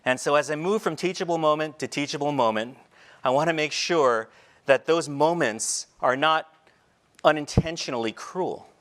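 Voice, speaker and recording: A middle-aged teacher telling personal stories in a talk on a large indoor stage, shifting between quiet reflective moments and light humor. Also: male voice, a little high, normal speed. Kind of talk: storytelling keynote talk. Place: large stage before a seated audience, indoors. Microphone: headset mic.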